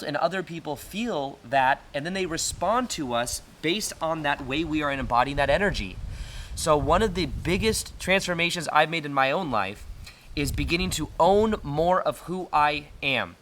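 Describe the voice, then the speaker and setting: Man, American accent, loud voice, earnest, casual motivational talk, slightly high pitch. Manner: earnest, fillers and restarts. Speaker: self-help video host. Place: retreat in Costa Rica.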